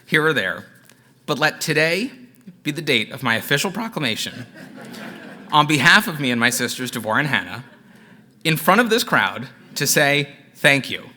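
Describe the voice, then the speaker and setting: A young man giving an earnest, heartfelt prepared speech at a gala, thanking his parents in a measured way. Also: a little high, very animated, pushed and bright, slight background noise. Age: young adult. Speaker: alumnus and son giving a gala tribute.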